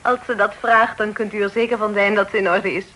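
A woman's voice: medium pitch, quick pace. A woman playing a cheerful, well-bred young lady in a radio drama, polite and gracious like a hostess. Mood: cheerful, reassuring. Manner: lively, polite, genteel. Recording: noisy old radio drama recording.

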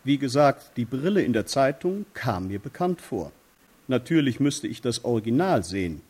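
An actor playing a fussy, self-assured optician in a radio play, talking in clipped, precise phrases. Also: male voice, mid-range voice, big pitch swings, moderate pace, a little mellow, slightly rough. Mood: proud, certain. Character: fussy, self-assured male optician proud of his wares.

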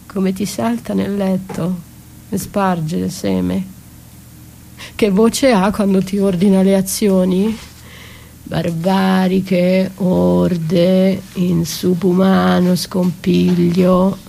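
A woman's voice: mid-range voice, creaky, warm tone, rough recording. A woman performing a dramatic radio theatre monologue as a battered wife, wounded, accusing and defiant, with intense delivery. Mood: menacing, interrogating. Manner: slow, intense delivery swinging from whispers to cries. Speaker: stage actress performing a solo monologue. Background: music.

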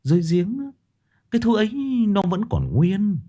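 A man voicing an older village husband who speaks low, hushed and gruff. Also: huge pitch swings, moderate pace, full character voice. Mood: uneasy.

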